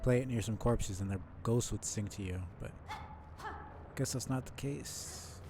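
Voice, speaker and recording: A man's voice: medium pitch, a little slow. A man doing casual live gameplay commentary, thinking aloud and swearing now and then. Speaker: live streamer playing a video game. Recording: faint background noise.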